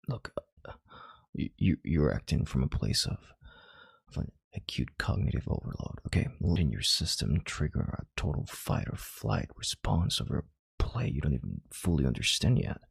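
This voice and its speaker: Guy doing a bossy ceo type calming someone down, super gravelly deep voice, swoops around a lot, intimate roleplay. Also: no room sound, clean studio sound, heavily acted. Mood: stern, reassuring. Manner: authoritative, measured, halting, sighing and hushing. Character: commanding, calculating male CEO calming a panicked employee. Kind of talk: intimate audio roleplay acting.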